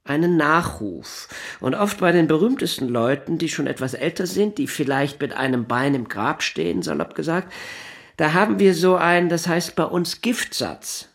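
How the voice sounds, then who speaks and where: An actor playing a flustered, talkative middle-aged newspaper science editor in a naturalistic radio drama, halting and digressive, with a husky voice. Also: male voice, on the high side, lively intonation. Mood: defensive. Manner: halting, digressive, breaks off sentences.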